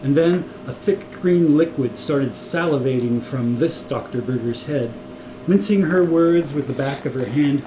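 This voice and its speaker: A man reading a comic story aloud in an amused way. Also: a little high. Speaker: reader of a comic novel. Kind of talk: story reading with acted dialogue. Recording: echoey room with clear noise.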